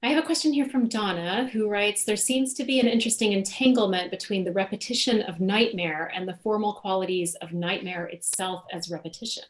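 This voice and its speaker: A woman's voice: mid-range voice, brisk, hardly any pauses. A woman moderating a graduate seminar Q&A, reading audience questions aloud with frequent ums and uhs.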